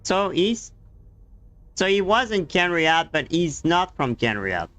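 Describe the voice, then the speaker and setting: A man's voice: fairly high pitch, sing-song, slow, a bit bright, dry recording. A man giving casual reaction commentary over a game, musing aloud in short, puzzled remarks. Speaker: gaming streamer reacting to story.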